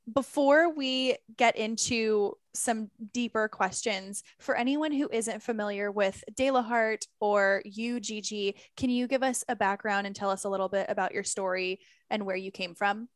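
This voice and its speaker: A woman hosts a podcast interview in a bubbly, upbeat way, with a voice of middle pitch. The recording is dry and close, with no room sound. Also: fairly even intonation, measured pace, dry recording. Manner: bubbly, laughs easily, upbeat. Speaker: podcast host.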